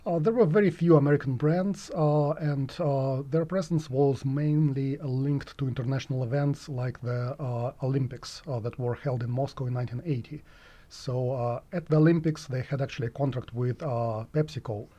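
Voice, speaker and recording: A man's voice: medium pitch, fairly even intonation, moderate pace, dark tone. A man in his forties from Russia with a Russian accent, an economics professor answering interview questions in his office, hesitant and full of filler sounds. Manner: hesitant, many filler sounds, chuckles while talking.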